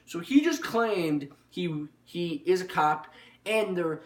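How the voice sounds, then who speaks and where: A young man telling a personal story casually and off the cuff, rambling and haltingly, often repeating his words. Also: sits high, animated. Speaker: online video storyteller. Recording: echoey room, distant microphone.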